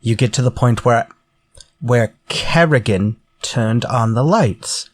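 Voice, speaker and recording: An actor playing a menacing, politely theatrical murderer in a retro-style radio drama. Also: male voice, medium pitch. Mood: coaxing. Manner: courtly, measured, theatrical. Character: menacing murderer from a story, politely coaxing then vengeful.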